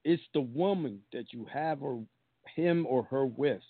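A man's voice: mid-range voice, a little slow, breathy edge, no room sound. A man hosting a casual call-in chat, speaking in blunt, joking banter. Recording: dry, phone-like audio.

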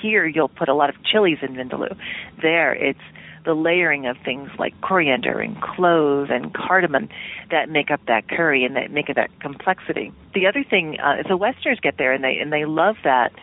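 A woman's voice: low-pitched, fairly quick. A woman television producer talking casually as a radio interview guest, chatty and hedging as she thinks aloud. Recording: tinny phone line.

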